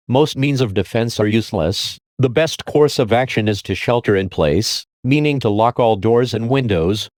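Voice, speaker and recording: A man performs as an emergency alert announcer in an audio drama. The delivery is measured, impersonal and grave, in a middle-pitched voice with a dry, studio-like sound. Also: darkish tone, no room sound, lightly acted. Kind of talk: scripted emergency broadcast announcement.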